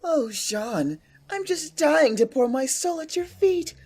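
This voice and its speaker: A man's voice: very high-pitched, very animated, takes it slowly, darkish tone, a little hiss or hum, exaggerated voice. A man playing a joking, sarcastic young male survivor with a mock-dramatic manner in a fan audio drama. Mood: sarcastic teasing.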